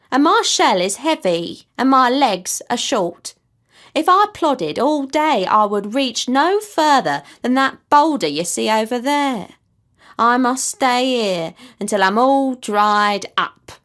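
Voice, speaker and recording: A woman's voice: on the high side, lively intonation, slow, fairly bright tone. A woman voicing a weary, self-pitying, very old male tortoise in a children's storybook, complaining in a laboured, plaintive way. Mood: plaintive, gloomy.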